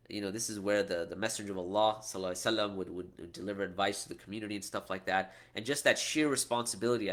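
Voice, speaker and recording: Bright-voiced man teaching casually. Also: fairly low pitch. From United States. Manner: conversational, frequent "right?" tag questions and restarts. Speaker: Islamic teacher. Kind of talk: casual Q&A talk.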